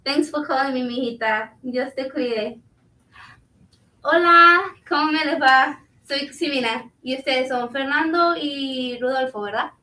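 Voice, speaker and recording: A woman's voice: high-pitched, unhurried, pushed and bright. A woman playing a polite, dutiful immigrant kitchen cook in a staged reading, with a Latin American Spanish accent. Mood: chatty, friendly. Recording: roomy, distant microphone.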